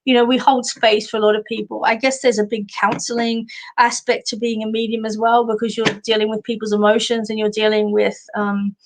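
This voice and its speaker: An Australian woman, a psychic medium and life coach, giving a casual card reading with many fillers and restarts in a slightly husky voice. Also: on the high side, level tone, fairly quick, background noise.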